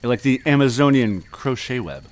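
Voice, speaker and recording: A man co-hosting a comedy podcast, improvising a silly horror story with deadpan running gags. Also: mid-range voice, moderate pace. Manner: deadpan, running gag delivery.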